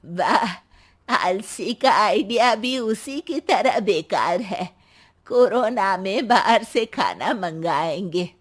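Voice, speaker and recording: A woman voicing a spry, sharp-tongued, very old grandmother-in-law in a cartoon, teasing and scolding her family. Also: mid-range voice, expressive, slow pace. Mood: mocking. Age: older. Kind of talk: cartoon voice acting.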